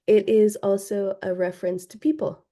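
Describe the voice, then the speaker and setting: Woman from Hawaii, filmmaker guest; warm, unhurried interview talk, thinking aloud. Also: mid-range voice, steady tone. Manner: thoughtful, many ums and restarts. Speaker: film director, guest. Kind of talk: casual interview answers.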